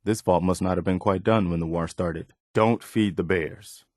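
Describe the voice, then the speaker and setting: An actor playing an earnest, steadfast militia officer in a post-apocalyptic video game, speaking plainly and in a measured way with an American accent. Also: male voice, low-pitched, fairly even intonation, a little mellow, no echo, studio quality. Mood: serious, resolute. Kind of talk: video game companion voice lines.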